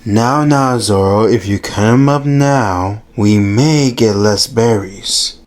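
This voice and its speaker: A man plays a placating, money-minded pirate in an audio drama. He has a fairly low voice and a slow, measured pace. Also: a little mellow.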